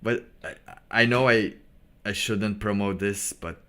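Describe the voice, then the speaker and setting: A man hosting a casual livestream monologue in a halting, rambling manner with preacher-like asides. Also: lowish voice, steady tone, fairly bright tone, clear, resonant tone. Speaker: livestream host.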